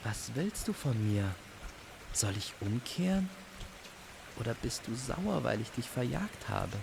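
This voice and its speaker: A man's voice: medium pitch, sing-song, unhurried, gravelly, noisy background, voice pushed up. A man voicing a curious, puzzled wanderer in a fantasy audio drama, musing and questioning aloud to himself. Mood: puzzled, challenging. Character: curious, puzzled male wanderer in a misty forest.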